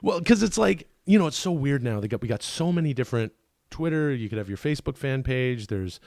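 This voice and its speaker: Podcast guest, male, rough low-today voice with some fry, loose rambling delivery. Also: animated, fairly quick, darkish tone, no room sound, clean studio sound. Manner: rambling, full of fillers and restarts. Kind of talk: casual conversation.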